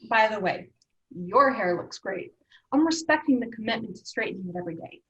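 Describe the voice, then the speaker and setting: A woman's voice: medium pitch, animated, fairly quick, fairly bright tone, natural voice. An actress playing a bossy, sardonic young woman leading her friends, in a dry screenplay table-read delivery. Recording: slightly dull remote call audio.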